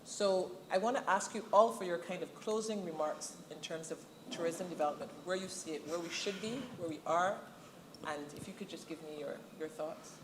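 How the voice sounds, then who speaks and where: A Barbadian woman moderating a webinar panel, posing questions in a clear, measured way. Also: lowish voice, background noise. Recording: echoey room, faint ambience.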